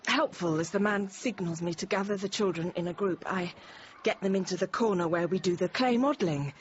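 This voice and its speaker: An actress playing a frightened young nursery teacher, narrating in a halting, intimate, often hushed way. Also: female voice, medium pitch, close to an everyday voice. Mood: fearful, controlled. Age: 30s. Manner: halting, fragmented, often dropping to a hush. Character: frightened young Parisian nursery teacher recounting a siege, controlled. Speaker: radio drama actor, narrator. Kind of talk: intimate first-person dramatic monologue. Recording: dull, noisy radio drama recording.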